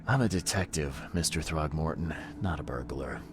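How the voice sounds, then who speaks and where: A man's voice: rumbling low, moderate pace, noisy background. A man playing a terse, world-weary private detective in a video game, dry and guarded in manner. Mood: terse, businesslike. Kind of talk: video game cutscene acting.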